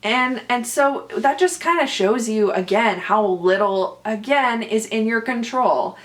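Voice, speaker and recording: A young woman hosting her own podcast, an actor with a loud, boomy voice, chatting casually and animatedly, laughing often. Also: lively intonation, unhurried. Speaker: podcast host. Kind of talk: casual solo podcast chat.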